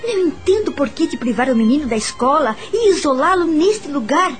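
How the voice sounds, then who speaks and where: An actress playing a kind, curious young female teacher in a Brazilian radio drama, expressive and musing, with a Brazilian accent. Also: high voice, a little fast. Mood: puzzled, pitying. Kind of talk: radio drama acting and first-person narration. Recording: music bed behind the voice. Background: music.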